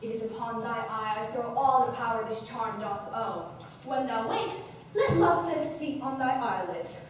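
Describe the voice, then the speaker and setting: A woman's voice: a little high, normal speed, slight background noise, lightly acted. A woman playing a mischievous fairy sprite in a stage play, declaiming verse with playful emphasis, recorded far off in an echoey hall. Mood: sly. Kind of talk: amateur stage play acting.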